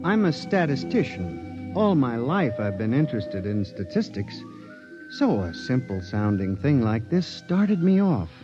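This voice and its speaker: A man's voice: medium pitch, big pitch swings, measured pace, music in the background. An actor playing an anxious male statistician who narrates and grows frightened, in a 1950s radio drama recording. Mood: uneasy. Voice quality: earnest, increasingly panicked. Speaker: narrator and lead character. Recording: dull old 1950s radio broadcast.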